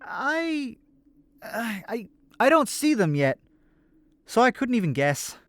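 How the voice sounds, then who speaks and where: A woman's voice: fairly high pitch, very animated, slow pace. A woman playing a hesitant, doubtful adventuring companion in a fantasy audio drama.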